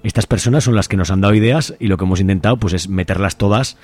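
A man's voice: sits low, fast, darkish tone, no echo. A man with an accent from Spain presenting a community radio show in an informal, unscripted way.

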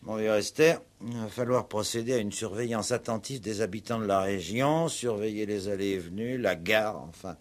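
Male actor as police commissioner, lowish voice, measured, wry, probing, courteous, old radio drama. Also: natural voice. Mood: deliberating. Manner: measured, inquisitive, lightly ironic. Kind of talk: 1960s French radio play acting.

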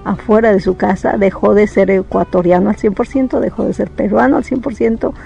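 A woman's voice: medium pitch, steady tone, brisk, creaky, dark tone, soft background music. A woman from Peru, a psychologist, explaining in an interview in a reflective way, often checking in with tag questions. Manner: reflective, thinks aloud with many tag questions.

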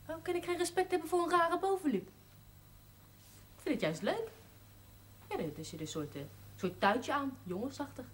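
A woman's voice: high voice, very animated, normal speed, long pauses. An actress playing a blunt, chatty young woman looking for work as a maid, in a 1970s TV drama. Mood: cheeky. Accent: Dutch. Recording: old TV soundtrack with faint hiss.

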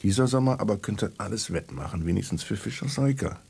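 Musing writer telling a story, radio drama, deep creaky voice. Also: male voice, expressive, a little fast, darkish tone, exaggerated voice. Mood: wry, chatty. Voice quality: gravelly, husky. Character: wry, musing male writer narrating his cat story. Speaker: narrator. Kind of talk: radio play narration for children.

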